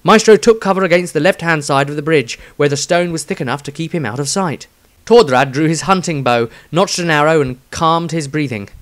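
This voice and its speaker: A man's voice: fairly high pitch, fairly quick. A man reading scripted fantasy narration as a storyteller, in a solemn, theatrical manner. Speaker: author narrating own story.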